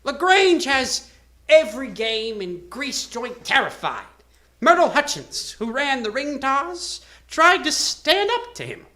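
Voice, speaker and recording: A woman acting determined carny woman, bright forceful voice, highish, imploring, emphatic, radio detective play. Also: big pitch swings, slow pace, exaggerated voice. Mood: earnest pleading. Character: aggrieved, determined female carnival performer seeking help. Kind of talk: live 1940s-style radio drama acting.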